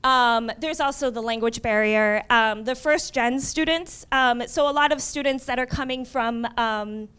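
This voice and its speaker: A woman's voice: fairly high pitch, measured pace, few pauses, a bit bright, smooth. A young woman from Miami, a community college librarian, giving a conversational conference presentation with wry asides. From Miami, USA.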